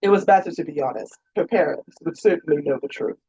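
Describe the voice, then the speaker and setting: A woman narrates historical romance in a mellow, husky voice. She reads as the author, in a roomy, somewhat dull recording. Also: low voice, quick pace. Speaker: author reading own novel.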